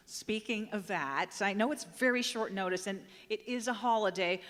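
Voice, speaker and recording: A woman's voice: medium pitch, normal speed, a bit bright, lightly acted. An actress playing a polite, persuasive woman petitioner in a live comic radio play. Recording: live stage sound in echoey hall.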